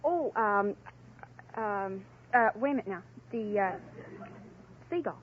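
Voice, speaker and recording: A woman's voice: mid-range voice, lively intonation, slow, phone-quality sound. A woman contestant on a vintage radio quiz, answering briefly and hesitantly. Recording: vintage band-limited radio, faint noise.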